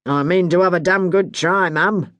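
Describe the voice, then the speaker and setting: A woman's voice: lowish voice, moderate pace, fairly bright tone, no echo, natural voice. Woman doing a cheeky young sailor. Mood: teasing. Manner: teasing, easygoing. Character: cheeky young sailor son, good-natured.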